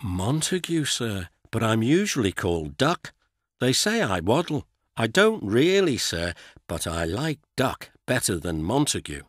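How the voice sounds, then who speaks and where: A man's voice: medium pitch, very animated, measured pace, no room sound, clean studio sound. A man voicing a polite, proud male engine who speaks courteously and formally but stays firm. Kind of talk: storybook character voice.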